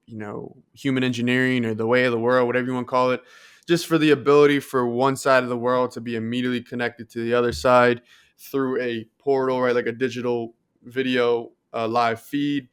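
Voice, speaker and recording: Clear, smooth-voiced male podcast co-host. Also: medium pitch, steady tone, normal speed. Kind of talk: casual chat.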